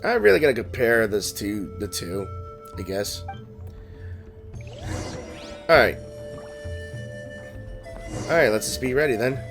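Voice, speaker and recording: A man's voice: medium pitch, very animated, takes it slowly, gravelly, long pauses. A man giving casual gameplay commentary, muttering and thinking aloud as he plays. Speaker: gaming streamer. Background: game music.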